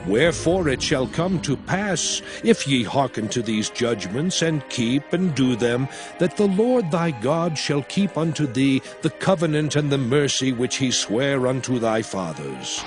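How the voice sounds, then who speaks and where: A slow, stern lawgiver. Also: male voice, mid-range voice, very animated, music in the background. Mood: solemn. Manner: slow, solemn and declamatory. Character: stern aged lawgiver addressing his people. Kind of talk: dramatized scripture reading.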